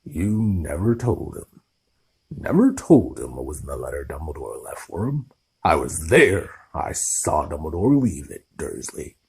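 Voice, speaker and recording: A man voicing a huge, hot-tempered but kindly gamekeeper, booming and growling in an audiobook. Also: huge pitch swings, unhurried, dark tone, slightly rough, exaggerated voice. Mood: seething. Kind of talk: audiobook character voice.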